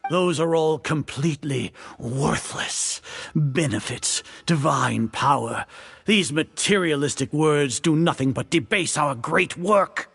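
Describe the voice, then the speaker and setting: An actor playing a haughty, older scholarly leader in a video game, lecturing with grandiose contempt. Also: male voice, a little high, takes it slowly, vocal fry, a bit bright, husky, faint music behind, full character voice. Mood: condescending. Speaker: grand sage of an academy.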